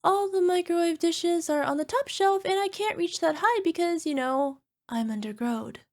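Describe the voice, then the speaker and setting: High-pitched, playful little cyclops girlfriend played by a woman. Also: lively intonation. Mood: evasive, sheepish. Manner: evasive, cutesy excuses, drawn-out wheedling. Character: playful, sheepish flying cyclops monster girlfriend, small and defensive. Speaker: voice actor. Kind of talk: ASMR girlfriend roleplay.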